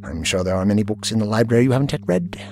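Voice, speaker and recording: Male actor as haughty alien time traveller, deepish voice, very lively intonation, formal, dismissive, audio drama. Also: rapid-fire, a little hiss or hum. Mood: haughty, irritated. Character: aloof, vain time-travelling alien inventor, tinkering with his ship.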